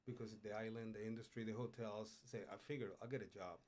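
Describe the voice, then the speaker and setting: A middle-aged man from Puerto Rico, a culinary instructor, telling his life story in a relaxed way, with frequent pauses and "uh"s, in a studio interview. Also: on the low side. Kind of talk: casual interview. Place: radio studio.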